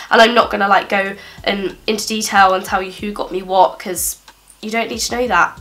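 A woman's voice: mid-range voice, a bit bright. Bunged-up young girl, chatty haul vlog, lots of likes and ums. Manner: chatty, upbeat, with frequent "like" and "um". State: congested from a cold. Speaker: beauty vlogger. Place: small indoor living room.

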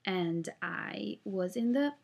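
A woman's voice: medium pitch, animated, slow pace, creaky, lo-fi sound. A young woman from Switzerland who speaks Italian natively, chatting casually to a webcam and explaining things step by step with plenty of fillers. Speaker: singer-songwriter. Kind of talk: casual vlog with screen walkthrough. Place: indoor room, webcam video.

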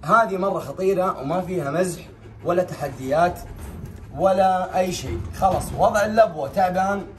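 A young Saudi-accented man talks casually and instructively to a camera a few steps away. His voice sits a little high. The sound is distant and strongly echoey, as in a small bare tiled room. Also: a little fast. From Saudi Arabia. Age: young adult. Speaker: big cat owner and vlogger. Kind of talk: casual explanatory vlog talk.

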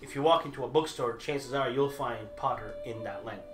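Guy who grew up speaking italian, talks fast and waves his hands, echoey room full of movie stuff. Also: medium pitch, over music. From grew up overseas, Italian at home. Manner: animated, gestures a lot, many restarts. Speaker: debater for the Harry Potter side. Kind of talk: casual debate chat. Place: small indoor room full of movie memorabilia.

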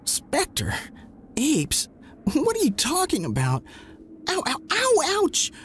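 A woman voicing a dazed, loyal boy sidekick in a video game, halting and groggy. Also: sits high, wildly swooping pitch, a little hiss or hum, heavily acted. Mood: confused, groggy. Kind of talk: cartoonish video game voice acting.

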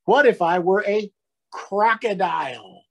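A man's voice: high-pitched, slow, creaky, fairly bright tone, voice pushed up, heavily acted. A man voicing a small, grumpy child fox in a storybook, plaintive and anxious to be loved. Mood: anxious, questioning. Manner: questioning, plaintive storybook delivery.

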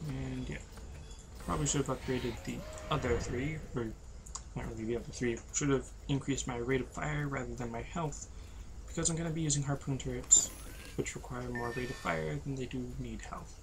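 A tired young man, losing his voice, giving low-energy, rambling commentary over a video game. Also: steady tone, normal speed, vocal fry, noisy background. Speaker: gameplay commentator. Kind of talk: casual let's-play commentary.

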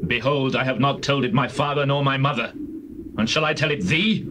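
An actor playing a proud, vengeful biblical strongman with measured, declamatory delivery. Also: medium pitch, close to an everyday voice. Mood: guarded. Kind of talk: dramatized scripture acting. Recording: noisy old cassette transfer.

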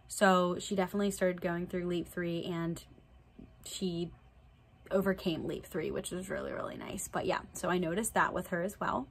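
Young woman vlogging with a slight cold. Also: fairly low pitch. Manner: chatty, plain, fillers like "so yeah". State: slight cold, a little nasal. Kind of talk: casual vlog update. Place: indoor room before a draped backdrop with string lights.

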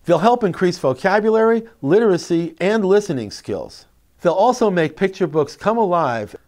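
Middle-aged male educator, expressive and emphatic, very varied melody, explaining to parents. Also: high-pitched, moderate pace. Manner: expressive, emphatic, clearly phrased. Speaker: presenter of reading tips for parents. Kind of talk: instructional narration to parents, with expressive read-aloud demonstrations. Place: indoor classroom. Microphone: lapel mic.

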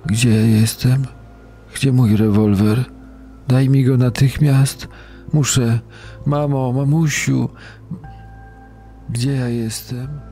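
An actor playing a dying mountain guide, faltering and pleading, in a podcast dramatization. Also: male voice, mid-range voice, steady tone, takes it slowly, pauses often, dark tone, faint music behind. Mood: delirious, desperate. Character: weakened dying mountain guide, delirious and apologetic man. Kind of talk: dramatized true-crime podcast acting.

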